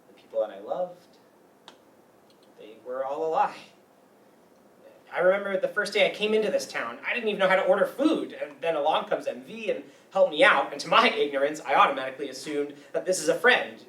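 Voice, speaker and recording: A man's voice: on the high side, animated, brisk, bright, forceful tone. Actor doing a wry neurotic guy holding a grudge, stage play reading, echoey and far from the mic. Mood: wistful, confessional. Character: bitter, neurotic grown man nursing an old grudge. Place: meeting room used for a staged reading. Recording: roomy, distant mic, faint noise.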